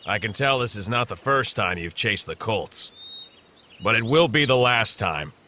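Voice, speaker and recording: A man playing a stern farmer scolding someone in an animated storybook. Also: lowish voice, normal speed, slight background noise. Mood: stern anger. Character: stern but fair farmer, master of horses.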